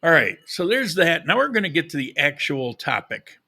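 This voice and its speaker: A man with a fairly high, very bright and forward voice hosts a casual livestream. He addresses his viewers directly in an informal, conversational way. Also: very animated, moderate pace. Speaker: live podcast host.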